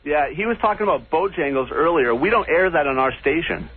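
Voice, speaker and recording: A man calling in to a radio show, hesitant and halting in his speech. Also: on the high side, expressive, slightly rough. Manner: hesitant, with fillers and restarts. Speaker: caller, radio station worker. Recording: tinny phone line.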